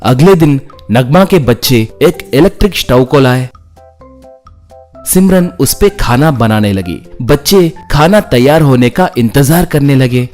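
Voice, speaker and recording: A man's voice: medium pitch, expressive, unhurried, darkish tone, soft background music. A man narrating a scripted moral story as a storyteller, reading out the events in order.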